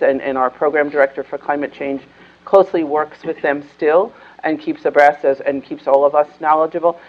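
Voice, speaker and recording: An older woman speaking at a conference panel as a foundation executive, measured and earnest with some restarts. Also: low-pitched, lo-fi sound. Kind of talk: panel discussion remarks. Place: conference room panel, indoors.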